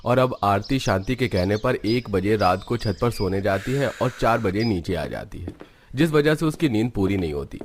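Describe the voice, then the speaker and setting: A man's voice: lowish voice, expressive, moderate pace, a little hiss or hum. A man narrating a scripted cartoon story as its storyteller.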